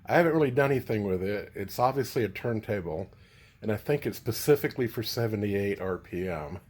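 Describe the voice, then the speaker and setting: A man talking casually and unscripted as a vlogger showing gear, rambling and halting as he thinks aloud. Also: on the low side, animated, darkish tone. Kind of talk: casual unscripted show-and-tell.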